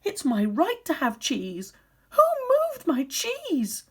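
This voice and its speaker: Woman acting a shrill, indignant, wailing human. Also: huge pitch swings, full character voice. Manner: loud, demanding, wailing and drawn-out. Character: indignant, entitled humans wailing over their stolen cheese.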